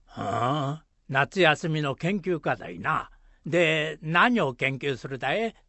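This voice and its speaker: A man playing a gruff, proud old former village headman with a Shizuoka accent in an amateur radio drama, talking in a rustic, hesitant way. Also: on the high side, animated, pushed and bright, dry recording, full character voice. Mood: gruff curiosity. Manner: slow, rustic, grunting hesitations.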